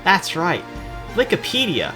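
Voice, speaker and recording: Animated jokey man commentating over music. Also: high voice, a little slow. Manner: jokey, sarcastic, self-deprecating. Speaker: gaming video host. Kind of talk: casual, rambling video game commentary. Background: music behind the voice.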